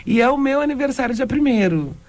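A woman from Porto Alegre, a DJ and cultural producer, chatting as a radio guest and telling stories in a lively way. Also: sits high, quick pace. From Porto Alegre, Brazil. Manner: lively storytelling, laughs easily. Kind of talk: conversational interview. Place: radio studio.

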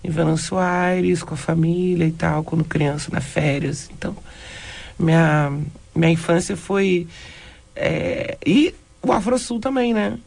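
Gravelly-voiced woman from Porto Alegre. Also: lowish voice, unhurried. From Porto Alegre, Brazil. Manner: lively storytelling, laughs easily. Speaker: guest, DJ and cultural producer. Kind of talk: conversational interview. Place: radio studio.

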